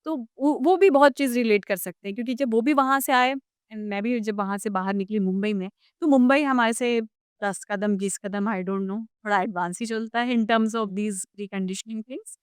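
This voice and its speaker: A woman with an Indian accent hosting a conversational interview, thinking aloud in a slightly halting way. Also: on the high side, clear, resonant tone, no room sound, studio quality. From small town in India. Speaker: podcast host. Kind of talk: conversational interview, Hindi mixed with English.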